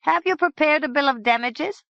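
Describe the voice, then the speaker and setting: A woman's voice: high-pitched, moderate pace, vocal fry, a bit bright, dry recording, pitched above the performer's own voice. A woman voicing a blunt, hungry male ogre head in a storybook reading, giving orders. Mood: demanding. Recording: dull dry lo-fi recording.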